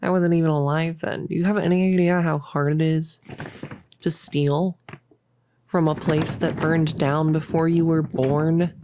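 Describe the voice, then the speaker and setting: A woman's voice: low voice, fairly even intonation, measured pace, background noise. A young woman playing a bossy, giddy, possessive cultist in an intimate roleplay audio, rambling in a casual, smug, playful way. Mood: rambling, smug explaining. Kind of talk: intimate roleplay audio, improvised-sounding monologue.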